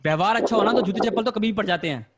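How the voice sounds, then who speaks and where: A young man doing stand-up crowd work on a video call, teasing the audience with quick, laughing banter into a stand mic at home. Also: high-pitched, loud music behind the voice. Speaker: stand-up comedian hosting a video-call show. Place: room at home. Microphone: stand mic in frame. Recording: noisy video call audio.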